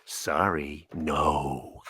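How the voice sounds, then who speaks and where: A man's voice: extremely low pitch, little pitch movement, drawn-out words, bright, forceful tone, husky, no echo, clean studio sound, heavily acted. A man playing a guarded senior admiral in a dramatic reading, recounting secrets in clipped phrases. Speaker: co-host reading a part. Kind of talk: dramatic cold reading of a memo transcript.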